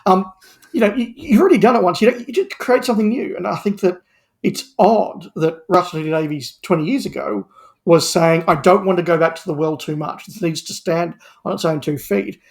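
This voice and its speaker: A man's voice: high voice, a little fast. Emphatic man in panel discussion. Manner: emphatic, repeats words and phrases for emphasis. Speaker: podcast guest. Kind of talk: animated opinionated panel discussion.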